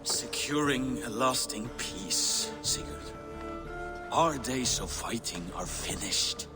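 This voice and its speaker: A slow, grave older Norse king. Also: mid-range voice, expressive. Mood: grave, firm. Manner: solemn, oratorical. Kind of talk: dramatic scripted game acting. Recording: echoey hall with music underneath.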